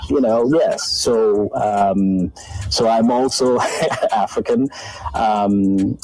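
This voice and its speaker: A man's voice: fairly low pitch, extremely slow, a little mellow, rough recording. A man from Kenya, speaking hesitantly with many filler sounds in a casual explanation. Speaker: interviewee in a played clip.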